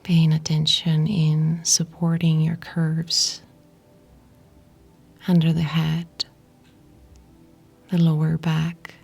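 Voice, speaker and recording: A woman guiding a yoga nidra meditation in a breathy, whispery, husky voice, soothing and unhurried, with pauses between short phrases. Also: sits low, completely flat delivery, a little mellow, soft background music. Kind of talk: guided meditation narration.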